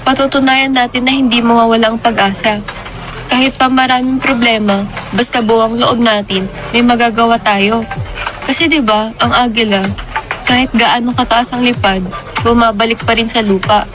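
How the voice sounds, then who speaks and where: A woman's voice: a little high, fairly even intonation, normal speed, lightly acted. A woman playing a hopeful, steadfast village woman in a radio drama. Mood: encouraging. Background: music.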